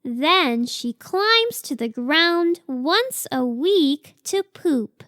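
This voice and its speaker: Woman as a very slow, high-pitched baby sloth. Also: lively intonation, a bit bright, no room sound, clean studio sound, full character voice. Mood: dreamy, earnest. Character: cute young female sloth baby, earnest dreamer. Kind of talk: slow children's picture book read-aloud.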